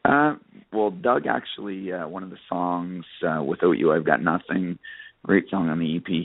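A narrow, dry phone-like connection carries a very low-voiced man. He is a musician answering interview questions casually, pausing and hesitating often as he goes. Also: no room sound. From Canada. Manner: halting, frequent hesitations and you-knows. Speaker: country singer being interviewed.

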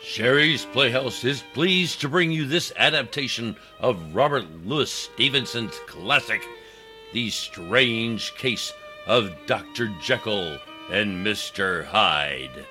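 Guy announcer for a radio drama, really slow n dramatic, forceful bright voice, faint music. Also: medium pitch, expressive. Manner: dramatic, deliberate. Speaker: announcer and narrator. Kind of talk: scripted radio play narration.

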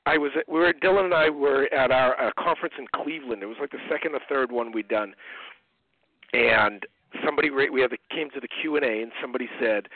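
A man's voice: medium pitch, dry recording. A middle-aged man with an American accent telling anecdotes in a casual, conversational interview style, as a guest over a narrow phone line. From United States. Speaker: guest, parent and speaker. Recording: narrow muffled phone-line radio sound.

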